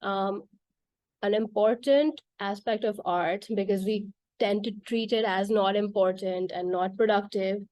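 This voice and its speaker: Woman, Urdu native speaker, mellow tone, dry recording, earnest casual talk. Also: medium pitch, steady tone, a little slow, no room sound. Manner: earnest, with frequent "like" and "right?" fillers.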